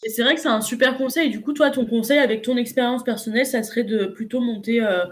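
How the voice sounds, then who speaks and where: A woman's voice: a little high, fast talker. A woman hosting a live interview who affirms the guest and sums up her points. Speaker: live-stream host, interviewer.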